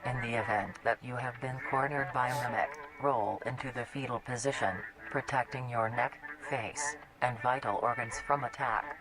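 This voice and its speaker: A man's voice: medium pitch, fairly even intonation, moderate pace, few pauses, poor audio. A man reading a scripted public safety announcement in a formal, instructional manner. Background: loud background music.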